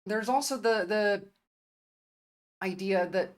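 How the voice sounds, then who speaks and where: A woman's voice: mid-range voice, slow pace. A woman giving an earnest, argumentative opening statement in an online debate, citing statistics with some hesitations. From United States.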